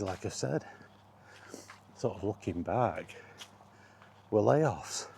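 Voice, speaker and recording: Man, middle-aged, deep voice, slow halting delivery, casual rambling gaming vlog, faint ambient noise. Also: gravelly, warm tone. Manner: unhurried, halting, reflective. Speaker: gaming vlogger. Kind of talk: rambling casual chat about video games.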